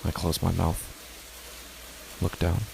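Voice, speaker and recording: A man narrating a first-person horror story in a measured, tense way. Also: sits low, completely flat delivery, vocal fry, noisy background.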